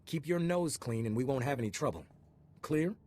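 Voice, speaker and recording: An actor playing an officious, self-important customs agent in a video game, pompous and condescending. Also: male voice, mid-range voice, animated, moderate pace, a little mellow, close to an everyday voice. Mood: smug warning.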